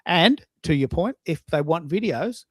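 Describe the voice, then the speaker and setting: A man's voice: a little high, animated, a little slow, fairly bright tone, slightly rough, dry recording. A man hosting a casual live audio chat, opinionated and repeating himself for emphasis. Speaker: live show host.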